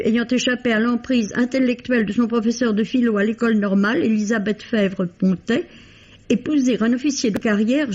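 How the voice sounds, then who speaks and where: A woman literary critic reading a scripted radio book review in a measured, formal way. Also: a little high, darkish tone. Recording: dull old radio recording.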